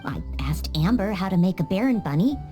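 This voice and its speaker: An actress voicing an earnest, polite young maid training to be a knight in a game cutscene, with small hesitant stammers. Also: female voice, mid-range voice, lively intonation, fairly quick, dark tone, soft background music, lightly acted. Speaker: game character.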